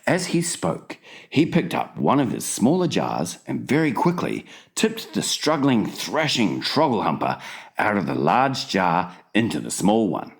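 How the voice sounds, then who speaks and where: A male audiobook narrator reads a children's story with a hugely varied, animated melody. His pace is slow and measured, and the reading is scripted and dramatized. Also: fairly low pitch, vocal fry.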